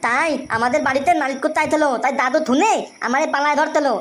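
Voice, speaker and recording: Lady doing a coarse angry cartoon husband, high pitched, talks really quick. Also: steady tone, a bit bright, a little hiss or hum. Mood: vengeful, blustering. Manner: blustering, coarse. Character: vengeful, crude grown man, husband and son. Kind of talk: comic cartoon dialogue.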